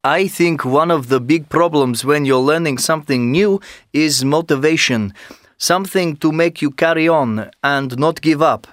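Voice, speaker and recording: A man calmly explaining a scripted tip for language learners. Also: on the high side, moderate pace, no room sound, clean studio sound. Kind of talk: scripted textbook audio.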